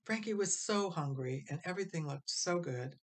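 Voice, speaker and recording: A woman narrates a storybook in a rather low voice. Her delivery is scripted and expressive, made for reading a tale aloud to listeners. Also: normal speed. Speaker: storybook reader.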